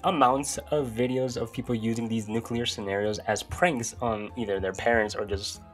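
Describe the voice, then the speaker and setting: A man's voice: medium pitch, fairly even intonation, moderate pace. A young man giving casual, sweary video commentary as a YouTube host. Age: young adult. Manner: irreverent, sweary. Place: small bedroom, indoors. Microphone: close desk microphone. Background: faint music.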